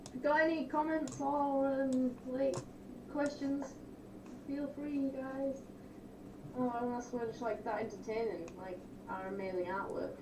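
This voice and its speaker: High-voiced woman in an echoey room. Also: steady tone, measured pace. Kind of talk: casual banter during an online drawing game. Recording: echoey, distant, noisy livestream mic.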